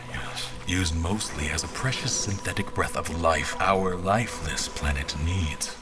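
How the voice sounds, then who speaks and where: A man playing a formal royal officer, narrating his sea voyage in measured, literary words for an audio drama. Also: low voice, over music. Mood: measured, reflective. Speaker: narrator and character actor. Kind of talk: first-person scripted audio drama narration.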